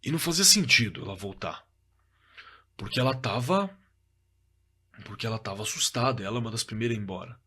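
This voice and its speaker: A man playing a shaken witness in an audio drama, recounting a frightening encounter in a halting, stammering, conversational way. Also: medium pitch, expressive, pushed and bright, slightly rough. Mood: shaken, haunted. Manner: halting, stammering, repeating himself.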